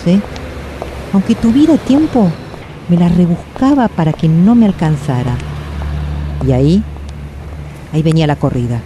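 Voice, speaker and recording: Actress playing anguished woman, low voice, Buenos Aires accent, introspective radio monologue, halting repetitions, clearly noisy background. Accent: Buenos Aires Argentine. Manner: hesitant, repeating phrases, asking herself questions. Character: anguished, self-questioning woman, always rushing, confessing. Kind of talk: radio drama monologue, introspective first-person storytelling.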